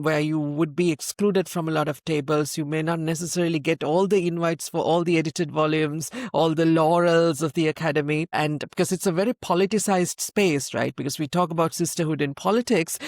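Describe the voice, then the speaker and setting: A man's voice: fairly high pitch, no room sound, clean studio sound. A man from Sri Lanka hosting an interview, speaking emphatically and repeating points for stress. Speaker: host. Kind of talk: conversational interview.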